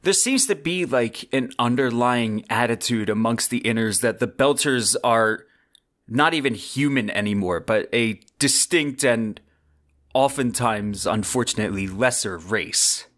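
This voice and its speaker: Male podcast host, mid-range voice. Earnest, measured explanatory narration, deliberate phrasing, slightly conversational. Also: mid-range voice, fairly bright tone.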